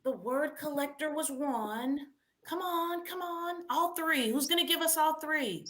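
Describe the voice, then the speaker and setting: High, bright-voiced woman hosting a quiz. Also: unhurried. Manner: animated, encouraging, repeats her questions. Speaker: host of a children's read-aloud event. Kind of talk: live quiz hosting with chat callouts.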